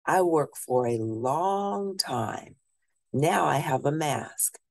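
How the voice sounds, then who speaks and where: A woman with a very deep, low-set voice reads a picture book aloud to young listeners. She takes her time over each line, and her pitch rises and falls in a lively, animated way. The sound is close and dry, with no room echo. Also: vocal fry. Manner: slow, deliberate read-aloud pacing with questions to listeners. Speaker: teacher.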